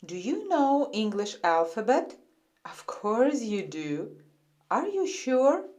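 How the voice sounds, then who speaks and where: A woman hosting a beginner English spelling quiz, speaking slowly and clearly in scripted narration. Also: fairly high pitch, big pitch swings, a little mellow. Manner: slow, clear, sing-song delivery. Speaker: quiz host.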